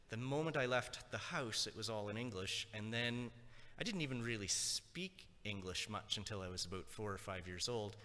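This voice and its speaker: A man whose first language is French gives a relaxed lecture at a conference, often pausing to check in with the room. His voice is bright and forceful at a middle pitch. The sound rings with the long reverb of a lecture hall. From Nova Scotia, Canada. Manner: chatty, checks in with the audience. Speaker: conference speaker. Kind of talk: conversational lecture.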